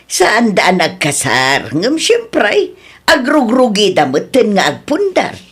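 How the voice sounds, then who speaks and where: A woman's voice: mid-range voice, huge pitch swings, slow, husky, heavily acted. An actress playing a sensible, frank neighbor woman in a radio drama, chiding her friend.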